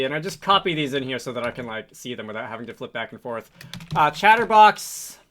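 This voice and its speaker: Bright-voiced man giving a coding tutorial. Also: on the high side. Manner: halting, improvised delivery with frequent hesitations. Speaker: tutorial host, game developer. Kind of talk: casual screen-recorded coding tutorial, thinking aloud.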